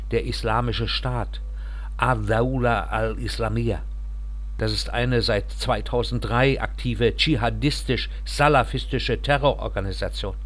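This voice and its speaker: A man reading the part of a reserved thirty-one-year-old refugee engineer, telling his story quietly and earnestly. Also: medium pitch.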